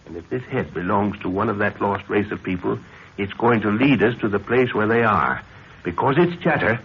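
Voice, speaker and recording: An actor as emphatic old-time radio scientist-explorer, deep-voiced. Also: male voice, normal speed, lightly acted. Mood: eager, intent. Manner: emphatic, declamatory. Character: older obsessed scientist-explorer, stubborn, visionary, commanding. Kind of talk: 1930s radio adventure serial acting. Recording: dull, noisy 1930s radio recording.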